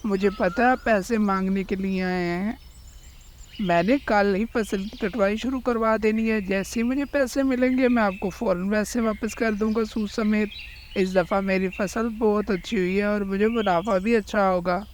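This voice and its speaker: Man voicing a high-pitched pleading cartoon crow. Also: fairly even intonation, normal speed, a little hiss or hum, natural voice. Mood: nervous, placating. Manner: deferential, pleading. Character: anxious, pleading male crow farmer in debt. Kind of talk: Hindi cartoon voice acting. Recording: faint music behind the voice.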